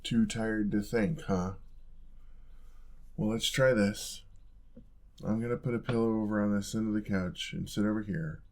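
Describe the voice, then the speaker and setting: Man acting low, creaky, teasing young roommate. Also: steady tone, unhurried, pauses often. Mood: gentle, caring. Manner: soft-spoken, teasing, casual, coaxing. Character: playful, affectionate young male roommate, gently teasing. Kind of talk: intimate ASMR boyfriend audio roleplay.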